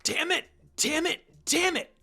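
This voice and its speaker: Cocky, excitable boy ninja, played by a man reading fan fiction, confident and youthful, over music. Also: very high voice, takes it slowly, vocal fry, voice pushed up, full character voice. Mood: frustrated. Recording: music behind the voice.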